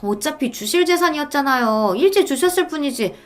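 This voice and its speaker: Brazen, contemptuous young daughter-in-law played by a female narrator, pitched up, curt radio-drama delivery. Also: animated, fairly quick, faint music behind. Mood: sneering, contemptuous.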